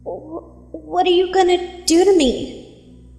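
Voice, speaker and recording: A woman's voice: very high-pitched, takes it slowly, warm tone, exaggerated voice. A woman playing an anxious, earnest young female unicorn in an audio drama. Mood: frightened. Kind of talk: audio drama acting of fan fiction. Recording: echoey hall reverb.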